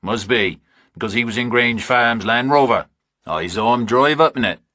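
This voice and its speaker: A man's voice: mid-range voice, normal speed, bright, forceful tone, no echo, clean studio sound, pitched above the performer's own voice, natural voice. A man voicing blunt, impatient farmers from England, speaking. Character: gruff rural English farmers, older men.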